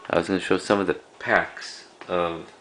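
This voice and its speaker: Casting: man, very low creaky voice, casual collector showing items, halting and unscripted, slight hiss of background noise. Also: fairly even intonation. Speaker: cassette collector presenting a collection. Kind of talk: casual unscripted show-and-tell.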